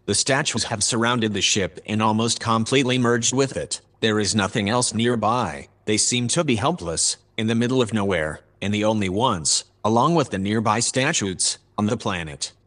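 A calm, formal male AI acted by a man speaks in a sci-fi audio drama. The voice is fairly low, measured and dispassionate, laying out each detail methodically. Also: lightly acted. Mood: detached. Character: calm, formal male artificial intelligence reporting grim events.

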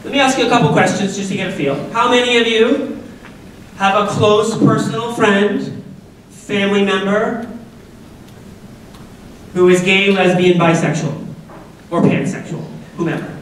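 A woman in her forties with a deep voice, an upbeat and chatty speaker who keeps asking the students questions. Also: a little slow, pauses often, a little hiss or hum. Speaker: guest speaker at a school assembly. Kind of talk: lively talk to students. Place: large school auditorium. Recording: echoey auditorium from far off.

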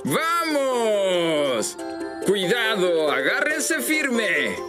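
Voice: mid-range voice, very animated, extremely slow, over loud music. An actor voicing a friendly, fussy talking reindeer in a children's cartoon, speaking in a careful, methodical way. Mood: excited. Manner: methodical, careful, announcing checks. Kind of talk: dubbed children's cartoon acting.

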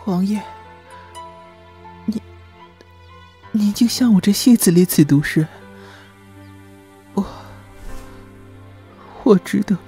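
A woman playing a frail, meek young male opera player who pleads haltingly in a costume audio drama. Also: medium pitch, warm tone, faint music behind, natural voice. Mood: hurt, wondering.

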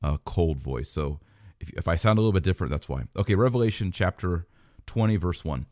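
A man's voice: low-pitched, lively intonation, a little fast, a little mellow, dry recording, studio quality. A male podcast host with a nasal, stuffed-up voice from a cold, teaching about the faith in a conversational way with occasional fillers and restarts.

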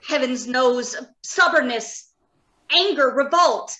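A woman playing an earnest, uneasy doctor of robot science in a radio drama, deliberate and emphatic. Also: high voice, bright, forceful tone, heavily acted. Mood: grave. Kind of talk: old-time radio drama acting. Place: home, remote video call.

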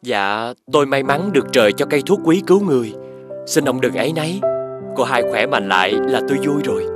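A man playing a poor, kind young woodcutter in an animated folk tale, speaking modestly, politely and a little hesitantly. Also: medium pitch, brisk, music in the background, lightly acted. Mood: humble.

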